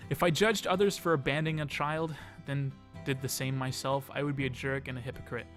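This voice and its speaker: A man's voice: medium pitch, fairly even intonation, a little fast, a bit bright. A man reading a visual novel aloud as a let's play host, dramatizing the story and dropping in casual asides. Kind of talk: expressive read-aloud narration of a visual novel. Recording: music behind the voice. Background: game music.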